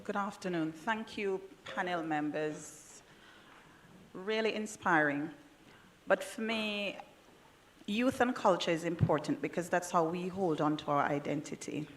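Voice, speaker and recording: A woman's voice: lowish voice, unhurried, a little hiss or hum. A woman with a Jamaican accent, asking a question from the audience at a conference and making an earnest, persuasive case. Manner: earnest, persuasive, folksy phrasing.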